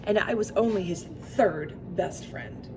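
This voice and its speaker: A woman's voice: medium pitch, measured pace, noisy background. A woman reading a picture book aloud to young children, expressively, with asides and questions to her listeners.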